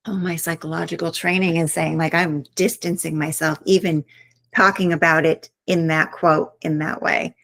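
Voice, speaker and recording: A woman with a lowish voice talks as the guest of an interview, reflective and earnest, working her thoughts out aloud. The sound is dry and close, with little room in it. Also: creaky, no echo. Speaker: author guest. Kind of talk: interview conversation.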